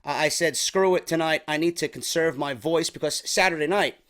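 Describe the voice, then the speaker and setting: A young man from New York, chatty and slightly hoarse from a sore throat, reviewing a wrestling show in a casual vlog. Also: on the high side, brisk, a bit bright. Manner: chatty, keeps calling viewers "man".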